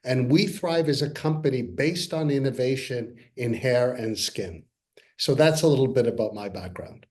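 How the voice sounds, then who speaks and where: A man in his sixties from Canada, a company co-founder earnestly pitching to investors in a webinar over a video call. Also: normal speed, a little mellow. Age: 60s. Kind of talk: webinar presentation. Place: parents' home, by video call.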